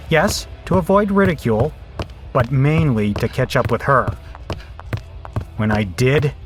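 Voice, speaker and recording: A man's voice: medium pitch, natural voice. Man playing a cynical, bitter biker narrator. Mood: humiliated, wry. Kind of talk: first-person audio drama narration.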